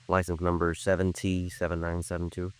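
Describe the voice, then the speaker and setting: An actor playing a calm, methodical police sergeant who narrates a 1950s radio crime drama in a flat, terse, matter-of-fact manner. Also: male voice, deep voice, a little fast, clean tone, lightly acted. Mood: polite questioning. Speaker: narrator and lead detective. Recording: vintage 1950s radio recording with music.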